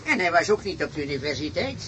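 A man's voice: fairly high pitch, very animated, fast talker, fairly bright tone. A man playing a gullible, middle-aged rich farmer, blunt, suspicious and grumbling, in a radio play. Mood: indignant. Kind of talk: Dutch radio play acting. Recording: noisy radio play recording.

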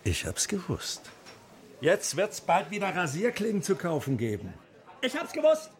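Eager, blustering buffoon, wildly animated. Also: male voice, high voice, moderate pace. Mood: excited, chummy. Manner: blustering, chummy, chuckling eagerness. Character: jovial, eager, foolish male Party busybody and proud father. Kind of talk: radio drama acting.

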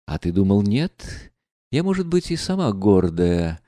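A man's voice: fairly low pitch, very animated, measured pace, dark tone, dry recording, clean studio sound. A man reading aloud and voicing a proud, reckless young woman who speaks in a bitter, mocking, defiant way.

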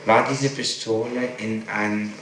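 A man conducts an interrogation in a fairly low voice, insistent and deliberate, going over his points again. The recording is an old 1960s tape, echoey and clearly noisy. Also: little pitch movement. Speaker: public prosecutor. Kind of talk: interrogation, questioning a witness. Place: echoey room.

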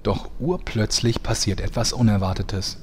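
A man's voice: lowish voice, normal speed, a little hiss or hum, natural voice. A man playing a mock-serious, formal narrator who commentates a fantasy battle in a parody audio drama. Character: pompous deadpan storyteller commentating a fantasy battle.

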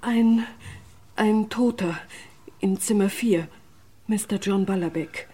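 An actress playing an earnest hotel secretary in a radio crime drama, speaking precisely and in an orderly way. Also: female voice, medium pitch, slow pace, dark tone, breathy edge. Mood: shaken. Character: earnest female hotel secretary giving police testimony.

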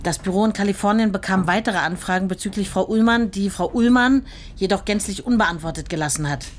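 An actress plays a postwar office clerk, a woman of middling pitch. She speaks in a measured, officious and formally polite way, as in a radio play. Clear room noise sits behind her. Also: natural voice. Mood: formal, reluctant.

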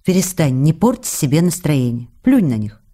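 A woman's voice: low-pitched, moderate pace. A woman acting in a radio drama as an affectionate, teasing actress reading her intimate letters to a faraway lover. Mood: soothing, indignant. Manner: intimate, coquettish, expressive.

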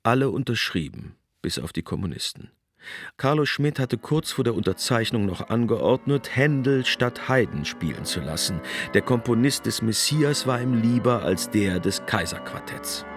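A man reading scripted narration for a radio documentary in a measured, essayistic storytelling manner. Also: medium pitch, very animated, faint music behind.

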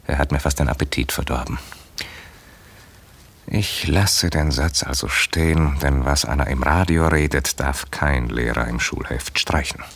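Male literary reader, deep and rough-voiced, emphatic, deliberate, satirical. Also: fairly bright tone. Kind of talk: dramatic literary reading.

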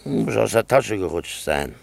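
An actor playing a grumpy, weary, stubborn old man in a German radio play, gravelly and curt, muttering his grumbles. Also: fairly low pitch, lively intonation, a little slow, exaggerated voice. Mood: gruff, dismissive. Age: older.

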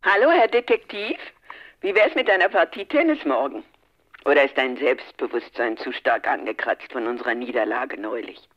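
A man's voice: medium pitch, lively intonation, normal speed, muffled recording. An actor playing a detective's teasing, caring male friend over the telephone in a radio play.

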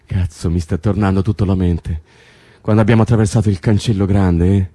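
A man's voice: low voice, steady tone, fairly quick, dark tone. An actor playing an agitated political prisoner in a stage drama, stammering and repeating himself as he tells his story. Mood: wistful. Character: agitated male political prisoner, stunned by news of release. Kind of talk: naturalistic stage drama acting.

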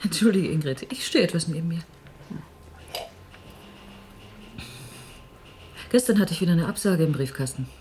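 Lively low-voiced woman, wry museum worker. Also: fast, a little hiss or hum. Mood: wry, subdued. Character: restless, wry female provincial museum worker. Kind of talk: naturalistic radio drama dialogue.

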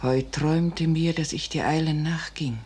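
An actress playing a devoted, foreboding noblewoman with hushed, solemn delivery in 1950s radio drama style. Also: sits low, fairly even intonation, normal speed, breathy edge. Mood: haunted. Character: fearful, devoted, foreboding noblewoman, resolute in dread. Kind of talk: 1950s German radio drama stage acting. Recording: noisy 1950s radio recording.

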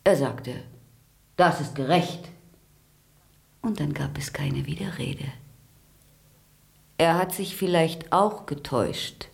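An actress playing a grieving, bitter grand duchess in a German radio play, halting and often sinking into whispers. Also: female voice, low voice, a little mellow, full character voice. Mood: bitter, accusing. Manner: halting, breathy delivery dropping into whispers. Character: grieving widowed Russian grand duchess, pleading, bitter, devout.

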